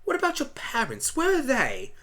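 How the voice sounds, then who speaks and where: A woman's voice: high-pitched, wildly swooping pitch, normal speed, fairly bright tone, pitched above the performer's own voice, full character voice. A woman voicing an eccentric, kindly male alien pony doctor, musing theatrically in a fan radio play. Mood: probing.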